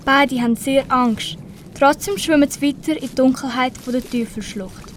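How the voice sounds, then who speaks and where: A woman's voice: high voice, moderate pace, slight background noise. A teenage girl reading scripted story narration for an amateur radio play.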